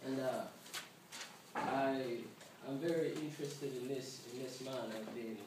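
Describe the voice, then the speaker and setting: A man from Uganda with a Ugandan accent giving an informal class presentation, repeating words haltingly as he goes. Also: medium pitch. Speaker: student presenter. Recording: noisy, echoey room, distant mic.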